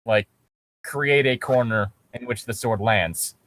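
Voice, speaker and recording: Male co-host, mid-pitched, offhand story critique, close and dry recording. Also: slow, a bit bright, dry recording. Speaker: co-host of a fan fiction reading show. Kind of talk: casual critical commentary.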